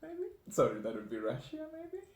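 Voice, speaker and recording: A man from Zimbabwe hosting a casual interview, animated with sudden jumps in pitch and easy laughter. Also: moderate pace. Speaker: podcast host. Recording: roomy echo.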